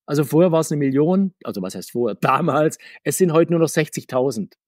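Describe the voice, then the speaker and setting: A man talking conversationally as an interview guest, talkative and often correcting himself, with a husky voice. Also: fairly high pitch, lively intonation, brisk, darkish tone, no room sound, clean studio sound. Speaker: guest, comics author.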